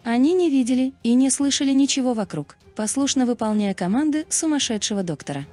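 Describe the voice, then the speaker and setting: A woman narrating a scripted video in a measured, explanatory manner. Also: sits high, expressive.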